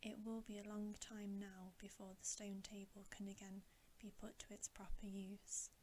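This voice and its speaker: A woman's voice: medium pitch, flat delivery, normal speed, dark tone, slight background noise, close to an everyday voice. Woman whispering as a wary dwarf servant. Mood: scheming. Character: wary male dwarf servant of a witch queen. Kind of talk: storybook reading in ASMR whisper.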